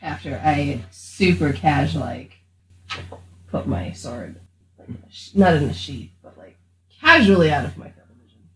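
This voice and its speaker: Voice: mid-range voice, expressive, normal speed, a bit bright, slight background noise, low-quality recording. A player in a tabletop roleplaying game, chatting casually and improvising what their character does.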